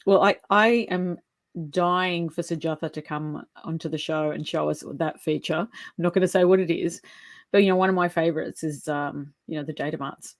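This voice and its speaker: A woman hosting a casual interview show, enthusiastic and quick to praise her guest. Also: deep voice, fairly even intonation, dry recording. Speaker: host.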